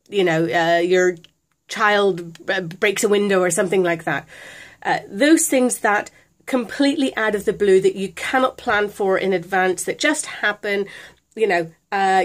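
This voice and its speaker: A middle-aged British woman with a chest infection, talking through a casual budgeting tutorial in a chatty, hesitant way, recorded on a camera phone at home. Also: mid-range voice. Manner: chatty, hesitant with many ums. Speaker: vlogger.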